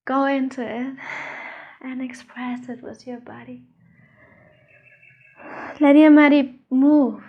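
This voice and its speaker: High-voiced slow woman guiding meditation. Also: steady tone, narrow, tinny audio. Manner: soothing, unhurried, gentle instructions with pauses. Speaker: meditation teacher, channel host. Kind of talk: guided meditation.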